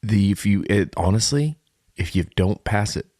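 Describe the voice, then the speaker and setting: Deep-voiced man, joking podcast chat. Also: animated, normal speed, darkish tone, no room sound, studio quality. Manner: joking, mock-serious exaggeration. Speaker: podcast co-host. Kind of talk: casual comedic chat.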